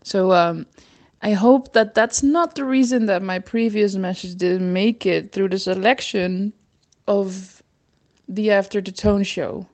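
Dutch-accented caller with a mellow voice. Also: female voice, medium pitch, unhurried. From Netherlands. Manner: anxious, self-deprecating rambling. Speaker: caller leaving a voice message.

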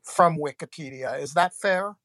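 Halting man hosting a podcast. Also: on the high side, fairly bright tone, no echo, clean studio sound. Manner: halting, many fillers and restarts. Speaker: podcast host. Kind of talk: podcast interview.

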